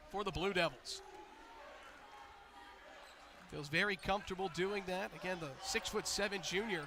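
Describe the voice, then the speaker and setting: An American man doing energetic live basketball play-by-play commentary, building to excited calls on big plays. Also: high voice, noisy background.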